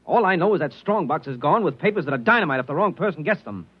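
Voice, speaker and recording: A man's voice: fairly high pitch, expressive, quick pace, slightly rough. An American actor playing a stern, agitated, suspicious army colonel father in a 1950s radio drama. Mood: bitter, agitated. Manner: blustering, exasperated. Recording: muffled old radio recording.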